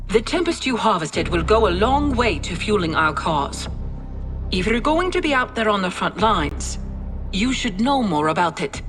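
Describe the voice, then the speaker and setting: An actress playing a poised, devoted military commander with dry wit, delivering a game cutscene briefing in a composed, persuasive manner. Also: female voice, mid-range voice. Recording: game music behind the voice.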